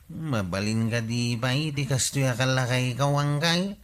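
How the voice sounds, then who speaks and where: An actor with an Ilocano accent playing an earnest, lovestruck village bachelor in a comedy radio drama. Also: male voice, medium pitch, moderate pace, lightly acted. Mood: hopeful. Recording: music bed behind the voice.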